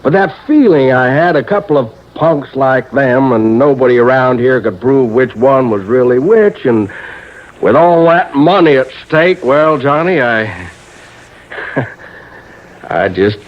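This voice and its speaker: An actor playing a modest, folksy American local in a radio drama, talking in a halting, unassuming way. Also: male voice, mid-range voice, expressive. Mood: modest, musing. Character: modest, folksy male country local with sharp instincts. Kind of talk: 1950s radio drama acting. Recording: muffled old radio broadcast.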